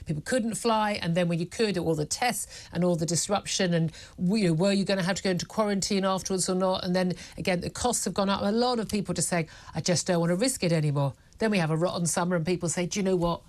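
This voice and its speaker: A woman's voice: lowish voice, quick pace, few pauses, a little mellow. A British woman presenting a live news interview in a chatty, emphatic and opinionated way.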